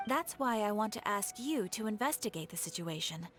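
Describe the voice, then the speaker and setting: A woman voicing a composed, earnest commission giver in a video game, speaking in a formal, measured way. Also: medium pitch, animated, close to an everyday voice. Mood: grave. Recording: soft game music behind.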